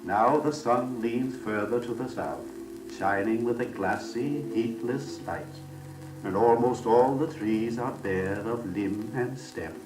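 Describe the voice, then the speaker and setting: A man narrating an old-time radio family drama in a solemn, lyrical, measured and theatrical style, lingering on his phrases. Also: medium pitch, lightly acted. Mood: wistful, cozy. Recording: old 78rpm radio record, roomy, distant, music.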